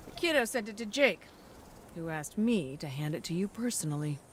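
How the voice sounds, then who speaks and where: Sly, teasing trader with swooping voice. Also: female voice, on the low side, very animated, brisk, a little hiss or hum. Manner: playful, teasing, theatrical phrasing. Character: sly, teasing, warm woman arms trader and friend. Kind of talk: video game cutscene acting.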